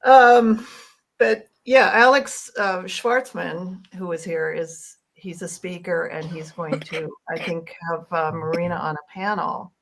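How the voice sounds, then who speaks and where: Slow-paced woman with a creaky voice. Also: on the low side, rough recording. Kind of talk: casual group discussion.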